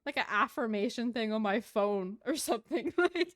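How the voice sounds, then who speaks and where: A woman's voice: fairly high pitch, animated, moderate pace, a bit bright. A woman giving casual live stream commentary on a game, chatting in a gushing, giggly, informal way.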